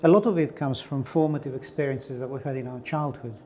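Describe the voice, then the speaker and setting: A man's voice: mid-range voice, fairly quick, mellow, soft background music. A middle-aged man from Greece, an artist giving thoughtful, searching answers in an interview, with frequent fillers and restarts. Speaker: artist guest.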